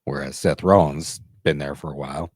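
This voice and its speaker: A man's voice: a bass voice, fairly even intonation, a little fast, darkish tone, breathy edge, no echo, studio quality. A man co-hosting a podcast, giving his predictions in casual, conversational sports talk. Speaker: podcast co-host.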